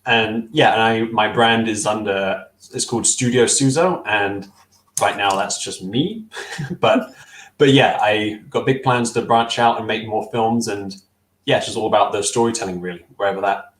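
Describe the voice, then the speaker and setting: A man's voice: on the low side, moderate pace, roomy sound, low-quality recording. A young man on a video call with a headset, answering interview questions casually, thoughtful and hesitant with many fillers and restarts. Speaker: stop-motion filmmaker guest. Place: small room at home.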